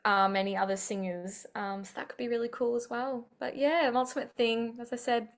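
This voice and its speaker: A woman's voice: a little high, steady tone, brisk. Chatty young woman on a video call. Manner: chatty, upbeat, many fillers. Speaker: singer-songwriter guest. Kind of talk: casual interview chat.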